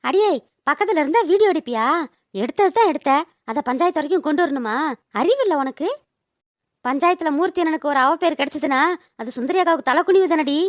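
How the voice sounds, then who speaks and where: A woman's voice: sits high, animated, fast talker, no echo, highs cut off. A woman voicing a scolding, mocking, gossipy village woman in a comedy cartoon, with speech. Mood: indignant scolding.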